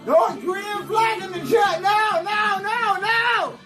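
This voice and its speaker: A man yells and sings the same few phrases again and again, his voice pushed very high. He drags the words out slowly. Loud music plays behind him in this livestream clip. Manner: chanting, repetitive shouting and singing. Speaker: streamer in a played clip. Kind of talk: shouted, sung livestream antics.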